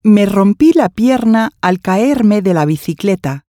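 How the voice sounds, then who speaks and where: A woman reading scripted language-course dialogue, enunciating each phrase clearly. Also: medium pitch, lively intonation, takes it slowly, warm tone, no room sound, clean studio sound.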